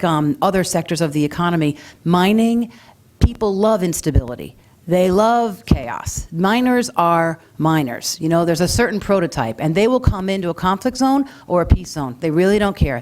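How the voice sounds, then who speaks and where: A woman answering audience questions as an expert panelist, in a frank, emphatic and informal manner. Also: fairly low pitch. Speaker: panelist, mining sector expert.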